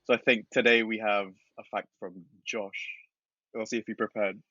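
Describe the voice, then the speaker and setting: A man's voice: fairly low pitch, little pitch movement, normal speed, bright, forceful tone, no room sound. A man hosting a podcast in casual chat, laughing often as he tells stories. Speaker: podcast host. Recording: dull, dry recording.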